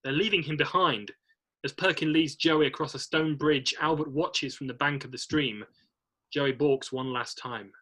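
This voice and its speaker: Bright, slightly husky male narrator. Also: on the high side, normal speed. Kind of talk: reading screenplay stage directions aloud.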